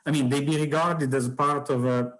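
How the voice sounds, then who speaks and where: A man, a book author whose first language is Italian, answering audience questions in a halting academic way with many fillers and restarts. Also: mid-range voice, steady tone, rough recording.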